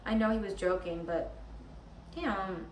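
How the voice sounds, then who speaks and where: A woman's voice: mid-range voice, fairly even intonation, measured pace, natural voice. Woman acting a nervous, chatty girlfriend, roomy sound. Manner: rambling, self-correcting, nervously laughing. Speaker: actor performing a monologue. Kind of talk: theatrical comic-dramatic monologue. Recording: roomy, distant mic with background hiss.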